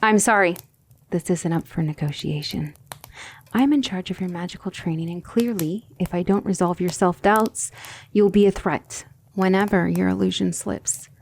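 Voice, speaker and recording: A woman playing a gentle but firm demon tutor in an ASMR roleplay, speaking in a soothing, measured and formal way. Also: lowish voice, slight background noise, lightly acted.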